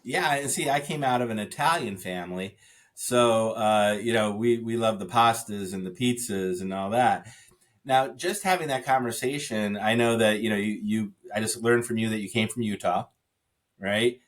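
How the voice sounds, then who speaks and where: A man speaking as a podcast guest, telling stories in an animated way with frequent restarts and "you know" fillers. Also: lowish voice, normal speed. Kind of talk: conversational interview.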